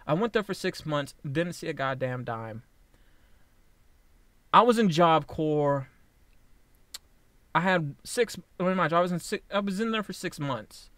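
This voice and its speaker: A man in his late twenties with a slightly high voice talks straight into the mic in a casual vlog. He rambles, swears bluntly and trips over restarts. The sound is very dry and close, with no room echo. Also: expressive, a bit bright. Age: 20s. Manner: halting with frequent restarts. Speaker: vlogger. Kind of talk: casual personal vlog monologue.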